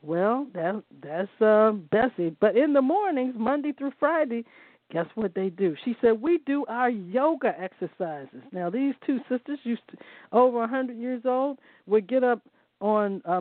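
A woman's voice: on the high side, expressive, unhurried, no echo. A woman host reads aloud from a book and comments on it in an enthusiastic, folksy way, adding churchy asides. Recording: tinny phone-like line, dry.

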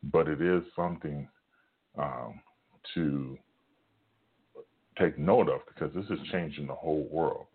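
A man with a very deep, rumbling voice giving an informal sermon at home, talking quietly with many pauses and fillers. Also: breathy edge, narrow, tinny audio. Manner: hushed, halting talk with many fillers. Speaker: preacher. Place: home at night, son in next room.

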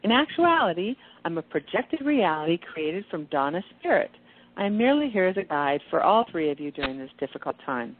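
A woman's voice: fairly low pitch, sing-song, brisk, a little hiss or hum. Tinny, serene, knowing angel woman, played by an actress. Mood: matter-of-fact. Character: serene, knowing female angel guide in kittenish human guise. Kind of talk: radio play acting. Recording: tinny, band-limited phone-like sound.

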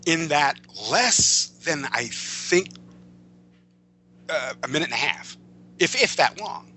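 A man with a strikingly bright, forceful voice talks as a writer being interviewed. He explains in an emphatic, halting, wandering way. A faint noise and hum sit under the recording. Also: on the high side, animated. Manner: digressive, emphatic, halting delivery. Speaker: interview guest, comic book and screen writer. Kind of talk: conversational explanation of film and comics craft.

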